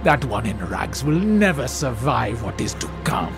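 An actor playing a disdainful, mocking cultist in a video game. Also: male voice, mid-range voice, big pitch swings, normal speed, gravelly, over music. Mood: scornful. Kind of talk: video game character dialogue.

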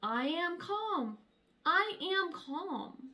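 A woman voicing a calm female monkey in a storybook, soothing herself with slow, drawn-out words. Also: very high voice, heavily acted.

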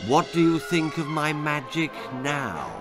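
A man's voice: expressive, measured pace. A man doing a grim, sinister doll-maker. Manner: low, grim and menacing. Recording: narration over loud music.

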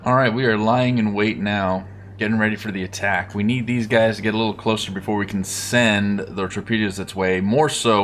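Or canadian guy, kinda low voice, talking through his game plan casually, music behind. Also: a bit bright. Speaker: gaming video host. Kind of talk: gameplay commentary, thinking aloud.

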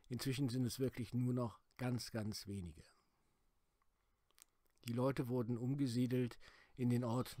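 A middle-aged man calmly narrating a documentary travel video as its host. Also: medium pitch. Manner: calm, explanatory.

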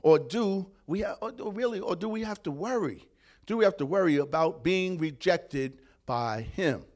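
A man preaching as pastor in a church service, deliberate, with praises and restarts. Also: high-pitched, animated, darkish tone. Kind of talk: sermon.

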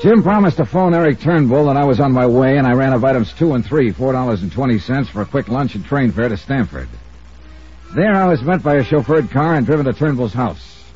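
On a dull old radio recording with faint music, an actor plays an American male investigator. He is wry and self-assured, his pitch swinging freely as he talks and narrates. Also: animated, a little mellow, lightly acted. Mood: narrating. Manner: wry, brisk, crisply emphatic. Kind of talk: 1950s radio drama dialogue and first-person narration.

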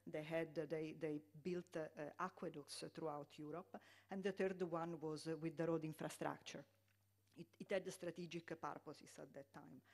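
A middle-aged Italian woman with an Italian accent giving a conference lecture, often pausing on fillers and restarting her sentences. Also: low-pitched, steady tone, a little mellow. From Italy. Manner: hesitant, many fillers and restarts. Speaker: public health lecturer.

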